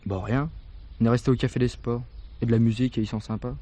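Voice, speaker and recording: A cheeky working-class teenage lad from a radio play, played by a man. He rattles along very quickly in slangy, insolent phrases, always defensive and offhand. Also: medium pitch, fairly even intonation, dark tone, natural voice. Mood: offhand, grudging. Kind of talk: French radio drama dialogue.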